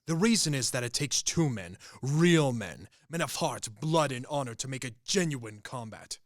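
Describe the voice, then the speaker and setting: A hot-blooded young officer of radio drama, acted by a man, grand and bitterly disillusioned. He declaims with sweeping swings of pitch, recorded close and dry. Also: animated, moderate pace, dry recording, close to an everyday voice. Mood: defiant, proud. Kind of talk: stage-style radio play acting.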